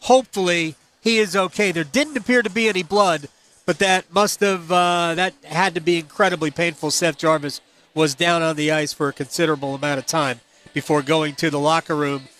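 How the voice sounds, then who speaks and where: A man hosting a hockey radio intermission, talking through highlights in an unscripted, halting way and often correcting himself. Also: steady tone, fairly bright tone. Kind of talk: unscripted sports highlight commentary.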